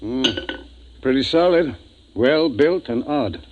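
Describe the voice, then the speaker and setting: An actor playing a frank, thoughtful spaceship doctor in a 1950s radio drama, explaining things in a measured manner. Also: male voice, medium pitch, lively intonation, lo-fi sound, background noise. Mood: reflective. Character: thoughtful male ship's doctor, frank and philosophical.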